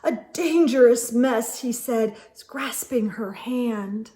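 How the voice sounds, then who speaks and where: A woman reads a children's picture book aloud as a storytime host. Her voice is high and her pace is unhurried. She is animated and emphatic, addressing young listeners directly.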